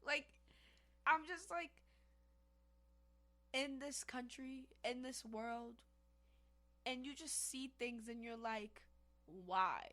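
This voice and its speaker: A woman's voice: high voice, takes it slowly, pauses often. A young woman from Haiti co-hosting a podcast in casual, emphatic chat, often calling out to listeners. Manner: animated, emphatic, addresses listeners as y'all. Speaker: podcast co-host.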